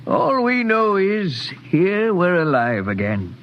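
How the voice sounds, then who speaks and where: Brief: eager young lieutenant, lively high-ish voice, stammers, old radio. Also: male voice, exaggerated voice. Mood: joyful. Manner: excitable, stammering, quick to hope. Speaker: ship lieutenant. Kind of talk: 1950s radio drama acting. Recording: dull 1950s radio broadcast, faint hiss.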